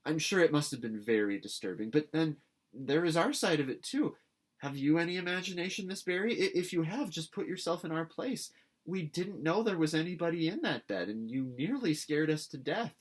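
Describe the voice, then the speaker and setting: A man's voice: a little high, expressive, brisk, voice pushed up. A man reading a storybook as an earnest, dramatic orphan girl who pleads in formal, effusive speech. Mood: eager.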